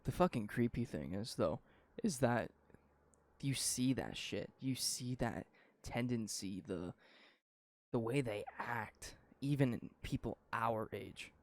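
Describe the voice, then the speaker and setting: A man's voice: medium pitch, lively intonation, slow, no echo. A nineteen-year-old man from Canada, co-hosting a podcast in casual, profane, rambling opinion chat. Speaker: podcast co-host.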